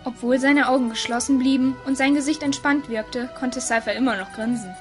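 A woman's voice: sits high, fairly even intonation, brisk. A woman narrator reading a scripted audio story. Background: music.

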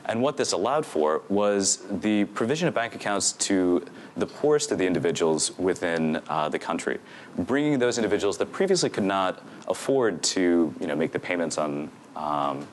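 A man's voice: sits low, lively intonation, normal speed, a little hiss or hum. A young man giving an academic conference presentation from a podium microphone, speaking fluently with frequent fillers. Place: lecture hall stage at a podium.

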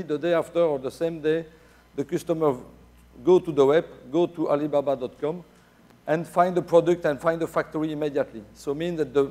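A man in his forties with a Belgian French accent, giving a conference talk on a dark stage with many fillers and restarts. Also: a little high. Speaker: keynote speaker. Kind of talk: conference presentation.

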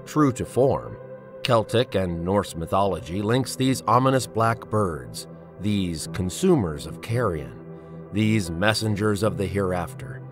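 Low-voiced male narrator over music. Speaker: introducer. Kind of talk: dramatic scripted narration. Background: prominent music.

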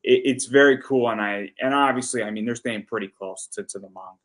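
An American man in his thirties hosting a casual interview, speaking in halting, hesitant phrases. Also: medium pitch, fairly bright tone. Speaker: interviewer, host. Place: plain room, indoors, video call.

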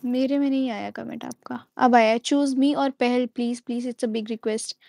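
A woman's voice: on the high side, fairly even intonation, slow pace, creaky, a little mellow, no room sound. Young woman, playful, giggly, comment-reading live stream, earphone mic, dry sound. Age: young adult. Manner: giggly, playful, switches into English. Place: indoors at home, small room. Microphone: wired earphone mic on a phone.

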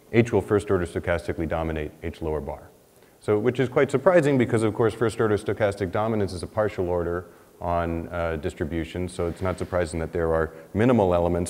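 A man in his thirties presents research at a podium, his fairly low voice ringing with the long reverb of a big lecture hall. He thinks aloud, restarting phrases and rising in pitch when he stresses a point. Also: darkish tone. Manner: thinking aloud, many restarts and "you know"s, rising for emphasis. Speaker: economics researcher presenting a paper. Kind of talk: conference lecture with slides.

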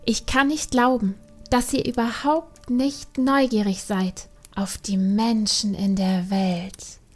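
A woman's voice: medium pitch, fairly even intonation, slow pace, a little mellow. A woman voicing a defiant, adventurous young panda girl in a fantasy audiobook, sulky and protesting. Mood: indignant. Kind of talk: acted fantasy audiobook dialogue.

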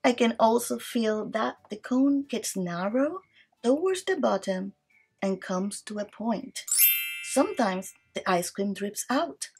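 Female early-learning presenter, playful and slow, very high voice with big swings, recorded at some distance. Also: husky, soft background music. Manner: animated, playful, child-directed delivery. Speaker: children's read-aloud presenter and teacher. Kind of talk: educational read-aloud for young children. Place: small indoor classroom inside a mobile learning vehicle. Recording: distant mic with faint room noise.

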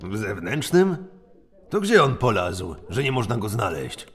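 A man playing the gruff boss of a prison colony in an audio drama, gravelly, curt, irritable and commanding. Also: lowish voice, sing-song, unhurried. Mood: irritated, commanding. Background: background music.

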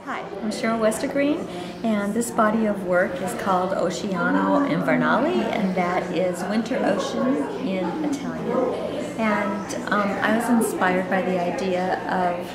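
A woman's voice: medium pitch, unhurried. A middle-aged woman painter describing her work in a thoughtful, hesitant way. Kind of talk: casual interview at an art walk. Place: gallery, indoors. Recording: echoey room with loud crowd.

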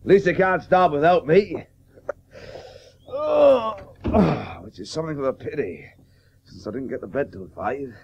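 An actor in a radio play playing a bluff, hearty, excitable Victorian cricketing doctor. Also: male voice, on the high side, a little slow, gravelly, dull audio, heavily acted. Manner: blustery, excitable. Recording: hissy old radio broadcast recording.

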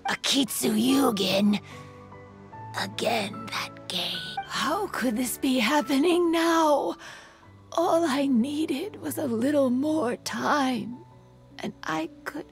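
A woman playing a mysterious, menacing female spirit in a video game, measured and cool. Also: high voice, gravelly, soft background music, heavily acted. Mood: distressed.

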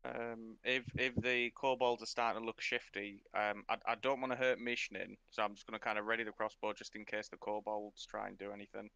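Male gamer, lowish crisp voice, casual table talk, dry close sound. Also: flat delivery, brisk, few pauses, pushed and bright, no room sound, dull audio. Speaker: player in a tabletop game. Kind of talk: casual game chat.

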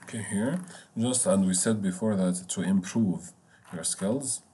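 A man teaching French beginners over a screen share, explaining slowly and repeating points with many fillers. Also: low voice, warm tone. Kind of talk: online screen-share lesson.

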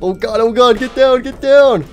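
A man voicing a frightened, excitable animatronic character who pleads frantically in a comedy skit. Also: extremely high pitch, normal speed, faint music behind. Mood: panicked. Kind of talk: amateur comedy skit voice acting.

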